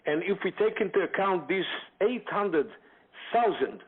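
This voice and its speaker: Male expert guest, emphatic, slightly high voice, narrow phone audio. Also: lively intonation, measured pace, husky. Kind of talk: lecture-style briefing. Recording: tinny phone line.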